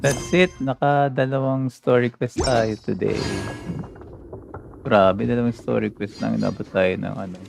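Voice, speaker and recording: A man's voice: medium pitch, steady tone, normal speed, gravelly, noisy background. A man with a Filipino accent chatting casually as he livestreams a game. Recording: game music behind the voice.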